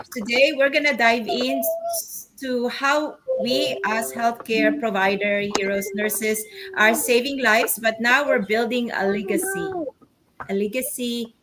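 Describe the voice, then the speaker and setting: A middle-aged Filipino woman hosts in a fairly high voice. Her delivery is halting and informal. There is some noise with clicks behind her. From Philippines. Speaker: podcast host, nurse. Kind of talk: casual introduction to an online panel.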